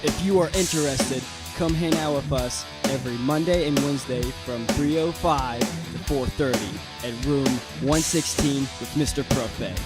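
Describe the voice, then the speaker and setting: A man's voice: mid-range voice, unhurried, hardly any pauses. A man reading a scripted radio promo for a school club. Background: loud guitar music.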